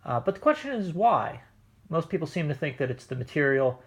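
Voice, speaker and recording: A man hosting a casual hobby demonstration in his living room, explaining things in a measured, hesitant way. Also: fairly high pitch, big pitch swings, husky. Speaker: host, record hobbyist. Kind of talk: casual demonstration of vintage records. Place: indoors, living room at home.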